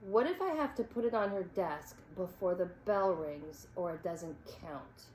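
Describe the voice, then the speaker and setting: A woman's voice: lowish voice, lively intonation, a little slow, a little mellow, slight background noise. Storybook schoolboy, voiced by a woman: fretful, questioning, worried, recorded in an echoey room. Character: anxious, fretful schoolboy worrying to himself.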